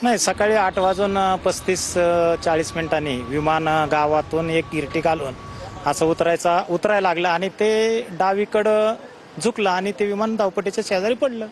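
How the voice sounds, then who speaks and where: Man, very high pitch, halting eyewitness account, light outdoor noise. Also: steady tone. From rural Maharashtra. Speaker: village head, eyewitness. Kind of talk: eyewitness interview.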